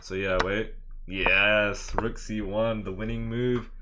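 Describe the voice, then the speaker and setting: Man, chess streamer, deeper register, chatty and hyped, light background noise. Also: takes it slowly. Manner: excitable, slangy, chatty with viewers. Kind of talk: casual live game commentary.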